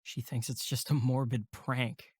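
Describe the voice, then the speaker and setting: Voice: mid-range voice, level tone, unhurried, breathy edge, dry recording. A performer acting as a protective, practical young male camp counselor in an audio drama. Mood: incredulous.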